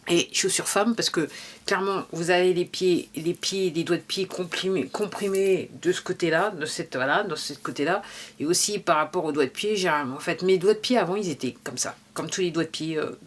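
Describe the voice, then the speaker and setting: Chatty French woman in her fifties. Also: lowish voice. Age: 50s. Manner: rambling, many restarts and fillers; shows her feet and shoes to the camera. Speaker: vlogger. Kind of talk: casual talk to camera.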